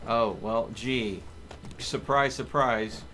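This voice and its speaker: Male streamer, mid-pitched, casual and playfully joking, talking over faint noise. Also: steady tone, takes it slowly, bright, forceful tone. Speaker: game streamer. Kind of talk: casual gaming livestream chat.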